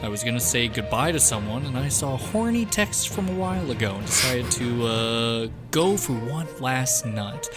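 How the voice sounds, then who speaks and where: A man's voice: mid-range voice, animated, moderate pace, hardly any pauses, close to an everyday voice. A man playing a smarmy male TV news anchor, in a mock-formal newscaster delivery over background music. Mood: deadpan newscast. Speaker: comedy reader.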